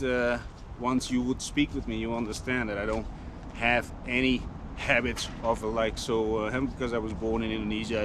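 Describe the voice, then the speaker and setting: Rambling man vlogging outdoors amid traffic. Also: medium pitch. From Netherlands. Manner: rambling, searching for words with many restarts. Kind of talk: casual monologue to camera.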